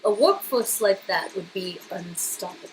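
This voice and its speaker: A woman's voice: medium pitch, lively intonation, normal speed, pitched below the performer's own voice, lightly acted, poor audio. A woman playing a prim, businesslike, dryly exasperated female assistant, formal and clipped, in a dramatic game reading with some background noise. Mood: measured.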